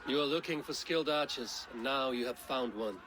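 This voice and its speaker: A stern Norse warrior in a video game, acted by a man. He is self-assured and blunt, speaking tersely in a medium-pitched voice. Ambient noise is clear behind him. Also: fairly quick, close to an everyday voice. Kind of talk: dramatic game acting.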